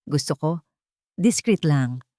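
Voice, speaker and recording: A woman's voice: low voice, animated, dark tone, no room sound, studio quality. A woman voicing a suspicious, guarded billionaire in an audiobook love drama. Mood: guarded, commanding. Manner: measured, discreet, probing.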